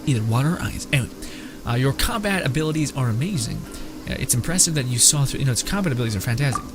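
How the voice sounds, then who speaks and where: A man giving casual, reactive gameplay commentary as a streamer, excitable, slangy and quick to swear. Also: mid-range voice. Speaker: gaming streamer. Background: game music.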